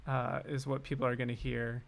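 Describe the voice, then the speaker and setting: A man's voice: medium pitch, level tone, brisk, gravelly, a little hiss or hum. A man hosting a livestream on composing, thinking aloud in a casual, chatty, informal way. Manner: informal and chatty, hums snatches of melody. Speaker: composer hosting a livestream on writing game music.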